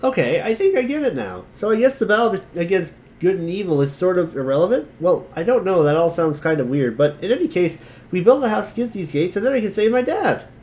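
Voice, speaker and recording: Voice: mid-range voice, very animated, quick pace, few pauses, darkish tone, lightly acted. A performer playing an earnest, naive young boy hero in an amateur webcomic reading, eager and puzzled.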